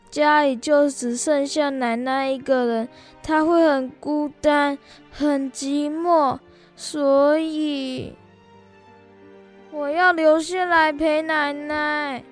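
A woman's voice: sits high, steady tone, slow, faint music behind. A young female performer acting a tender-hearted, loyal young boy in a radio drama, with a Taiwanese Mandarin accent. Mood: pleading. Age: young adult.

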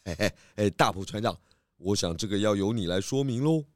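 A man playing a confident, clever detective in a children's radio drama, explaining his case deliberately. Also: fairly low pitch, animated, no echo, clean studio sound, lightly acted. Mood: calm, probing. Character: confident, clever male detective unmasking culprits.

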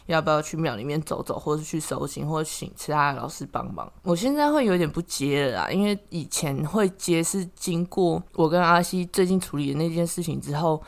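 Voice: mid-range voice, a little fast, vocal fry, few pauses. A podcast host with a Taiwanese Mandarin accent, chatting casually while explaining things in a reflective way. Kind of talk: casual chat.

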